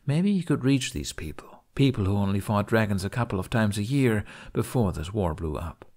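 A man's voice: lowish voice, animated, moderate pace, a little mellow. A man reading a scripted story expressively as an audiobook narrator.

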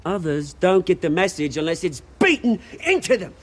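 An actor playing a cocky, volatile British street brawler and crime boss in a video game, with a swaggering, theatrical delivery. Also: male voice, high voice, very animated, normal speed. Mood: cocky cheer.

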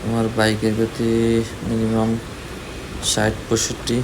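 Male vlogger, Bangladeshi accent, lowish and very monotone, casual on-the-road commentary from a motorbike, clear vehicle noise. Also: a little slow. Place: outdoors on a moving motorbike in city streets.

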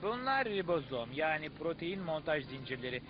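A proud cartoon cell guide, lectures in a fairly high male voice. The manner is didactic and showy, on muffled audio with music playing behind. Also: sing-song, moderate pace. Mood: proud explaining. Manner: didactic, showman-like. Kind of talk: dubbed educational cartoon acting.

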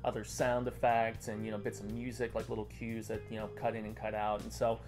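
A man's voice: fairly low pitch, completely flat delivery, a little fast, a little mellow. Casual American man co-hosting over music. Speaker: co-host of a comic review show. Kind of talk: casual review chat. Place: small indoor room lined with comic shelves.

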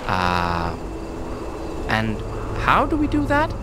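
A man's voice: on the low side, huge pitch swings, takes it slowly, background noise, full character voice. A man playing a small, polite, nervous but plucky hobbit burglar, earnest and theatrical, in a video game. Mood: hesitant, thoughtful. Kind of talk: video game character acting. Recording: loud music under the voice.

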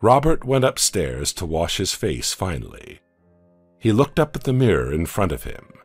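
A man narrating a dark audio comic story in a scripted, dramatic way, often dropping to a hush at the ends of lines. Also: deep voice, huge pitch swings, gravelly, slightly rough, dry recording, faint music behind. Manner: slow, dramatic storytelling with hushed drops.

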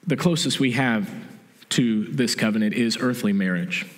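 A middle-aged man preaching a sermon in a church sanctuary, explaining calmly and restating his key points. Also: mid-range voice, moderate pace. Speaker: pastor. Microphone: stage mic from a far camera. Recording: long church reverb.

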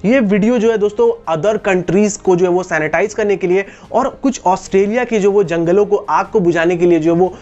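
Young indian guy talking to camera for youtube, kinda high voice, explains stuff to viewers. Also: a little fast, few pauses, a little mellow, dull audio, faint music behind. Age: 20s. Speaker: YouTube host. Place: indoors, small room against a wall. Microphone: lapel mic.

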